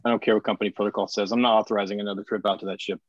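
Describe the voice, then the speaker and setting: A man playing a gruff, sarcastic, short-tempered colony administrator in a screenplay table read. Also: on the low side, flat delivery, very fast, dry recording, lightly acted. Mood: irritated, sarcastic. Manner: curt, sardonic, foul-mouthed. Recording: dull lo-fi audio.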